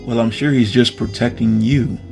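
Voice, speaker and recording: Man playing echoey, gravelly, nervous young lawman. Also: mid-range voice, steady tone, normal speed, natural voice. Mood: earnest. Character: earnest, uneasy junior lawman, easily flustered. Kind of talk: radio play acting. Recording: heavily echoing, music behind.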